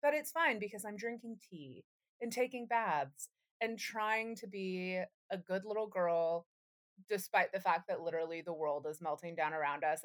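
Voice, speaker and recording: A woman co-hosting a podcast in casual, sweary chat, with a wry, self-deprecating and playfully dramatic manner. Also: mid-range voice. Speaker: podcast co-host.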